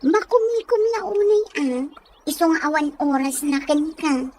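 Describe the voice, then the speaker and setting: Frank, nosy Filipina best friend played by a woman, high and swooping voice, Ilocano accent, village audio drama, noisy recording. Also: animated, normal speed, darkish tone. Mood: prying. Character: frank, nosy, lively young village girlfriend and confidante.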